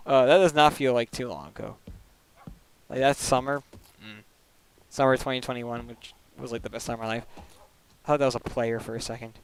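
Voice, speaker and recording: A young man streaming a video game, chatting casually and rambling with lots of "like" fillers and quick laughs, into a desk microphone at home. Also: mid-range voice, fairly even intonation, slight background noise, low-quality recording. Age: young adult. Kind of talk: casual chat while gaming.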